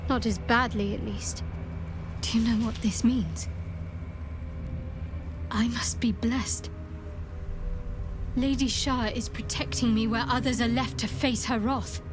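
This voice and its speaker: High-voiced sardonic young cleric woman. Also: fairly even intonation, takes it slowly, pauses often, music in the background. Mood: fervent. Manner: dry, sardonic, cutting. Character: guarded, sardonic young female cleric devoted to a dark goddess. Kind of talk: dramatic video game dialogue.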